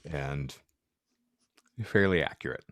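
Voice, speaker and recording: A man's voice: rumbling low, slow pace, dry recording. A man hosting a casual podcast discussion, steering it with wry, wordy phrasing.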